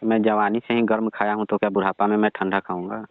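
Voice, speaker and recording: A demanding, domineering old father-in-law acted by a man badgers and dismisses in a conversational phone skit. His voice is fairly low and monotone, coming through a muffled, narrow phone connection. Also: fast talker, no room sound, natural voice. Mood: teasing, insistent. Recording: dull, band-limited phone call recording.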